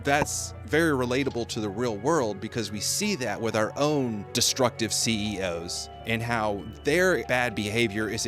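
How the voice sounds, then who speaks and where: A man delivering scripted video-essay commentary with casual asides. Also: medium pitch.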